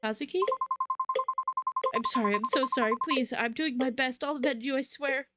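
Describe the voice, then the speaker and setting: A woman's voice: sits high, normal speed, close to an everyday voice. A woman voicing a desperate, guilt-ridden character pleading for forgiveness in a horror story. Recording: music under the voice.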